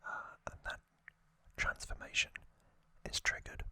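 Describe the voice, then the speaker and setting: A man whispering ASMR commentary as the host of a trivia show, speaking in short, measured phrases. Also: sharp tone, no room sound.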